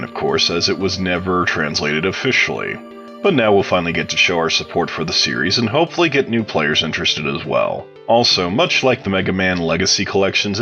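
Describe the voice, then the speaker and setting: A man with a low-pitched voice speaks as an interview guest, explaining things in a measured and articulate way. His answers sound prepared and read out. Music plays clearly behind him. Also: sharp tone, muffled recording. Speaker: interview guest, game modder.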